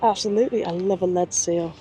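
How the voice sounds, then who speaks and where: A woman's voice: fairly low pitch, quick pace, mellow, soft background music, low-quality recording. A woman presenting a vlog in casual chat, recorded outdoors on a river foreshore.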